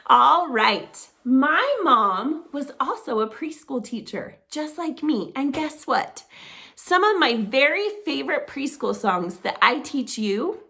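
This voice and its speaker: A middle-aged woman teaching preschoolers, talking to small children in an animated, sing-song teacher voice. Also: high-pitched, takes it slowly, gravelly. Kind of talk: children's circle-time lesson to camera.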